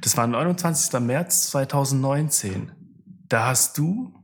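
A man's voice: mid-range voice, unhurried. A man hosting a casual podcast chat, talking freely with frequent restarts and laughter. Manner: chatty, hesitant restarts, laughs often. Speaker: podcast host.

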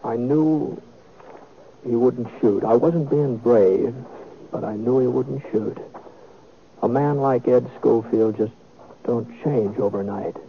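A middle-aged American man playing a steady, laconic cowboy gunman in a 1950s radio western, speaking in a measured, folksy way. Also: mid-range voice, gravelly. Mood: somber, reflective. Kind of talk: 1950s radio western acting and first-person narration. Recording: tinny, noisy old radio recording.

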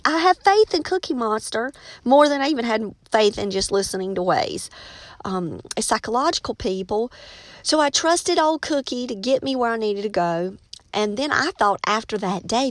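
A woman's voice: on the high side, dry recording. A middle-aged American woman hosting a casual solo podcast, chatting in a folksy storytelling way straight to her listeners. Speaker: podcast host, teacher and writer.

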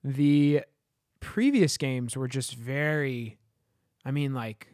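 Male podcast co-host, rambling and word-searching, mid pitch, dry close mic. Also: slow pace, darkish tone, no room sound. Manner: rambling, searching for words, with "you know" and "I mean". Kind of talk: casual chat.

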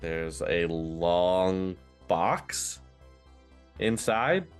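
A man narrating a tabletop role-playing game as game master, casual and halting, pausing mid-sentence as he improvises. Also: low voice, animated, soft background music.

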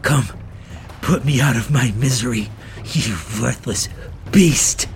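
Gravelly, goading monster hunter. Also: male voice, mid-range voice, slow pace, a little hiss or hum. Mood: contemptuous, desperate. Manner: taunting, theatrical. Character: Van Helsing, bitter, goading male vampire hunter. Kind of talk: radio drama acting.

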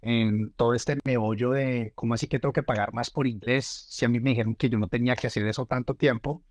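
A man co-hosting a talk show, relaxed and conversational. He often chimes in with brief words of agreement. His voice is of middling pitch, recorded close and dry. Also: dry recording. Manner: relaxed, quick interjections of agreement. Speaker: co-host.

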